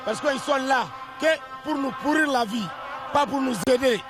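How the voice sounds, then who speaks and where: A man's voice: very high-pitched, moderate pace. A man making an impassioned statement as a protester. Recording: music over the voice.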